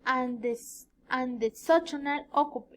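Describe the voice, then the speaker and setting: Female student, 20s, native Spanish speaker with Colombian accent. High voice, extremely slow delivery, hesitant word-searching in a self-introduction. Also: a little hiss or hum. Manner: halting, searching for words. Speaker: student giving an oral presentation. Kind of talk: rehearsed self-introduction for a language class.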